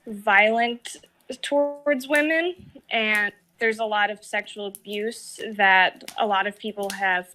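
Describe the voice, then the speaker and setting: A woman's voice: mid-range voice, pushed and bright, rough recording. A woman giving reflective, analytical opinions in a casual discussion, feeling her way through her phrasing. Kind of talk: casual, thoughtful discussion of a TV series.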